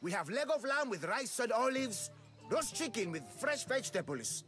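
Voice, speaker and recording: Very high-pitched food merchant. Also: male voice, very animated, moderate pace, fairly bright tone, soft background music, heavily acted. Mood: eager, boastful. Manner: sales patter. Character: boastful, enthusiastic male food stall merchant. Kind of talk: video game cutscene acting.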